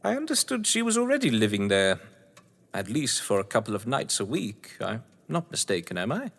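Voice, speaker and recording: An actor playing a suave, sardonic upper-class English novelist, wordy and condescending, in a theatrical audio drama. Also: male voice, medium pitch, very animated, normal speed, vocal fry, a bit bright, exaggerated voice. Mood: mocking politeness. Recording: echoey hall-like reverb.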